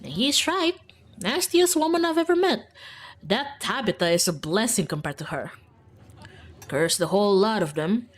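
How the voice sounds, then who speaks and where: A woman's voice: medium pitch, expressive, normal speed, close to an everyday voice. A woman voicing a bitter, spiteful townsperson in a visual novel, full of scorn. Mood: scornful. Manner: scornful, venomous. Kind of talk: visual novel character reading. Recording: music in the background.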